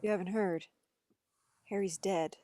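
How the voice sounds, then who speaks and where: A woman's voice: mid-range voice, steady tone, unhurried, warm tone, dry recording, close to an everyday voice. A woman playing an anxious, earnest young man searching for his father at a script table read. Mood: shaken.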